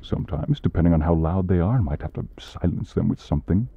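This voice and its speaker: A man playing a grumpy, exasperated demon who punishes people, curt and gruff, in an ASMR comedy roleplay. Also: sits low, animated, fast talker, lo-fi sound, a little hiss or hum. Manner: gruff, curt, long-suffering.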